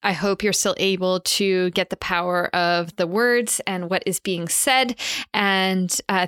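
A woman's voice: lowish voice, fairly bright tone, clear, resonant tone, no echo, clean studio sound. Enthusiastic Canadian woman hosting a podcast. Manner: enthusiastic, gushing, many restarts. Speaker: podcast host. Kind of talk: casual podcast intro.

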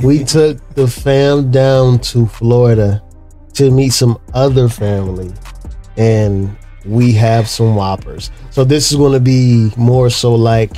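A playful man co-hosts a relaxed podcast, talking slowly and at an unhurried pace. He jokes, laughs and sometimes drifts into a snatch of song. There is faint music behind him. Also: mid-range voice. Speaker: podcast co-host. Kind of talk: casual chat.

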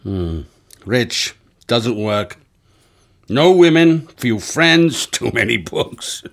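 An actor playing a brusque, bossy and cynical Boston police sergeant in an old-time radio drama. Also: male voice, medium pitch, big pitch swings, extremely slow, heavily acted. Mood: matter-of-fact. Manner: bossy, gruff, wisecracking. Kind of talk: 1920s-style radio drama acting.

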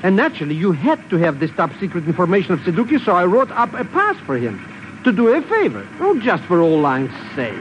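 An actor playing a wheedling, slangy, ingratiating American small-time hustler in a 1950s radio drama. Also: male voice, high voice, wildly swooping pitch, a little fast. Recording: muffled, noisy old radio recording.

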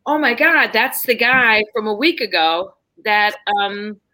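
New York woman, podcast guest, animated storyteller, bright tone, fairly high voice. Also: a little slow. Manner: animated, acts out voices in her stories. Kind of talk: casual storytelling chat.

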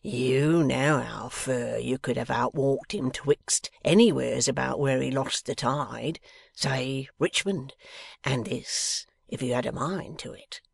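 Man doing a gravelly, sullen rogue. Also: medium pitch, slow pace, dry recording, exaggerated voice. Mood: mocking, confident. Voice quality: growling, gruff. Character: coarse, sly, sullen lock-keeper, growling and jeering. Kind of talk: dramatised novel reading.

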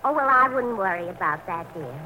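An actress playing a sweet, fluttery, coy bride-to-be in a 1940s radio play. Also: female voice, medium pitch, lively intonation, a little fast, bright, forceful tone. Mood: shy, coaxing. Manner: coy, hesitant, doting. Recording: noisy old 1940s radio recording.

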